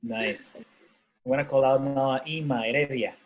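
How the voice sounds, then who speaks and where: A man's voice: mid-range voice, moderate pace, dull audio. A man moderating an online talk, thanking each speaker and calling on the next one. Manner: brief and upbeat, calls on participants one by one. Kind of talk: webinar moderating. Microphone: video call.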